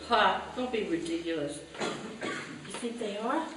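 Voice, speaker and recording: A woman's voice: medium pitch, lively intonation, slow. A woman playing a scheming, restless housewife in an old-time radio comedy staged live. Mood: fretful, sheepish. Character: lively scheming 1940s sitcom housewife, restless and fretful. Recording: echoey hall, distant mics, hissy noise.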